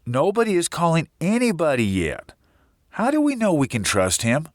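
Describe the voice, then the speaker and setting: A man playing a gruff, guarded sheriff in an audio drama, blunt and commanding. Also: a little high, huge pitch swings, normal speed, dry recording, clean studio sound. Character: gruff, guarded small-town sheriff taking charge.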